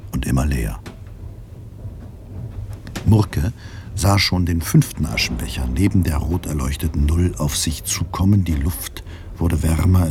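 Male narrator, very low voice, literary radio drama, noise behind.

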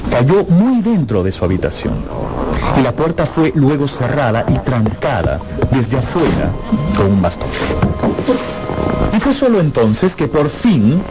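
A man's voice: mid-range voice, wildly swooping pitch, measured pace, over loud music, low-quality recording. A man narrating a radio drama in a dramatic, scripted storytelling style.

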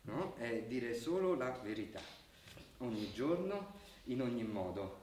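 An actor playing a determined, calculating but idealistic communications strategist in a radio drama, explaining firmly and persuasively. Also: male voice, mid-range voice, sing-song, a little slow, darkish tone. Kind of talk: Italian radio drama acting. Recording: distant, echoey radio drama, faint noise.

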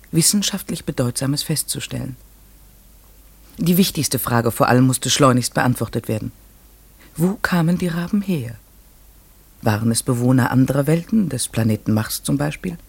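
A man playing an earnest, inquisitive narrator in a radio play, musing and asking himself questions in a deliberate way. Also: a little high, vocal fry, a little mellow, slightly rough, no echo, exaggerated voice. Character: earnest, inquisitive narrator puzzling over mysterious ravens.

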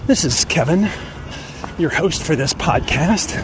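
A man in his fifties hosting a personal podcast monologue, speaking deliberately in short phrases with pauses. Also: lively intonation. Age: 50s. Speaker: podcast host. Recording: noisy outdoor recording with traffic rumble.

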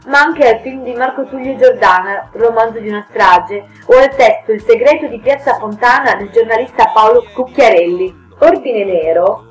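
A woman's voice: medium pitch, rough recording. A woman reading a scripted historical documentary for radio in a formal, written-text manner. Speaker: radio programme narrator.

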